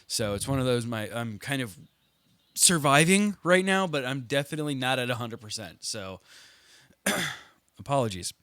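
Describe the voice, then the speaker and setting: Man with a head cold, lively pitch. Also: normal speed, clear, resonant tone, no room sound. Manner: joking, laughs often. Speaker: podcast co-host. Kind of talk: casual chat.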